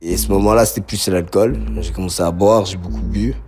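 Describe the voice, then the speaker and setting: Man, low-pitched voice, reflective personal testimony, halting and repetitive phrasing, music underneath. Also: fairly even intonation. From Belgium, grew up around Liège. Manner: reflective, halting, repeats words as he searches. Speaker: interview subject telling his life story. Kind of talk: personal testimony, spontaneous storytelling.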